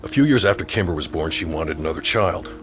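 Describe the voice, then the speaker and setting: An actor playing a middle-aged small-town sheriff and father in an audio drama, wry, measured and protective in his talk. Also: sits low, lively intonation, over music. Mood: grave, gentle. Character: small-town sheriff dad, teasing yet protective and steady. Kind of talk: naturalistic audio drama acting.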